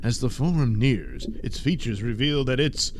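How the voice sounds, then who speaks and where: A man's voice: medium pitch, animated, measured pace. Male serial narrator with faint background noise. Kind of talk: scripted dramatic serial narration.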